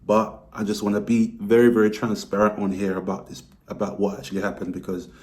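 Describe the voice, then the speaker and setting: Hoarse man with a lost voice. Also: brisk. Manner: candid, explanatory, lively pitch rises. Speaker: vlogger. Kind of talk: casual talk to camera.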